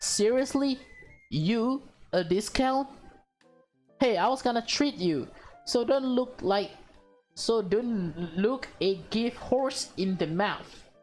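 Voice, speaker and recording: A woman voicing a bossy, excitable young woman in a visual novel, teasing and theatrical. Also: on the high side, takes it slowly, faint music behind, voice pushed up. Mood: indignant, teasing.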